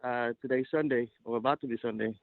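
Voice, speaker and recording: A man's voice: mid-range voice, steady tone, fast talker, gravelly. Male caller, flustered, stammering, thin tinny phone line.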